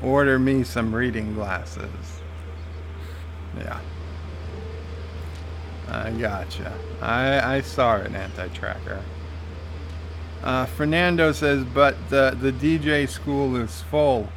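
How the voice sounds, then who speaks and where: Slow, halting man reading chat aloud. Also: medium pitch, vocal fry, a bit bright, slight background noise. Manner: reads viewer comments aloud and replies, with frequent "uh" fillers. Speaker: game streamer reading live chat. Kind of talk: casual live-stream commentary.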